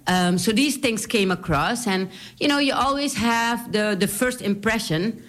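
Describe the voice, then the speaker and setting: A woman's voice: on the high side, measured pace. A woman with a Surinamese accent, a politician telling her story in an interview, chatty and laughing often. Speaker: politician guest.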